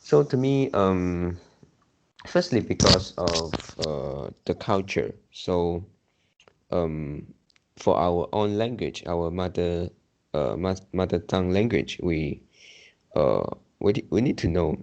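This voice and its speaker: Malaysian English man, deep low voice, slow and hesitant, slightly dull recording. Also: lively intonation, gravelly, darkish tone. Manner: hesitant, pauses to think. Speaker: discussion participant. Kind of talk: casual discussion.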